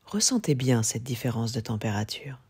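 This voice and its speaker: A woman yoga teacher leading a guided relaxation, speaking calmly and in a measured way with long pauses. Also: extremely low pitch, slightly rough. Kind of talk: guided yoga nidra meditation.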